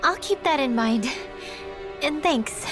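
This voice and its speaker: A woman's voice: sits high, expressive, takes it slowly. An actress playing a hesitant but perceptive young girl mage in a video game, with music behind her.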